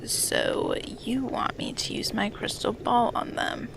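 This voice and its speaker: Woman acting seductive witch, sly and teasing, high gravelly-edged whispery voice, fantasy radio drama. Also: steady tone, slow, background noise. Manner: teasing, breathy whispering delivery. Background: music.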